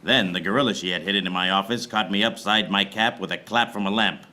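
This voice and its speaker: Actor playing a low-voiced, deadpan noir private eye. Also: male voice, fast talker. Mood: weary. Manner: deadpan wordplay, theatrical. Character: wry, weary hard-boiled male private eye. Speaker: lead actor in live comedy radio serial. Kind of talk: film noir detective narration, radio play parody. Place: small theater stage, live audience. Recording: noisy live stage recording with clicks.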